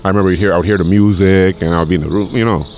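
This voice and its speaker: Deep-voiced man in his forties, rambling. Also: steady tone, very fast, a little mellow. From Grenada. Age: 40s. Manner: rambling, with many repeated words and fillers. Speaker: podcast guest, chef. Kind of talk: casual conversation.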